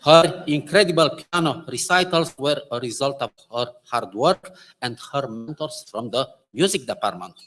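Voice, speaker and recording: A man's voice: mid-range voice, animated, normal speed, fairly bright tone, rough recording. A man with a Romanian accent, a physics professor, giving a formal and enthusiastic introduction.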